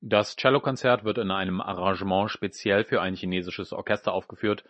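A man's voice: low-pitched, steady tone, fairly quick, a bit bright, dry recording, dull audio. A man presenting a culture programme, reading a scripted radio report.

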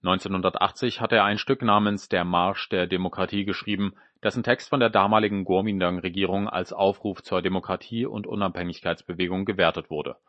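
A man's voice: sits low, steady tone, brisk, few pauses, no echo, dull audio. A man presenting a culture programme, reading a scripted radio report.

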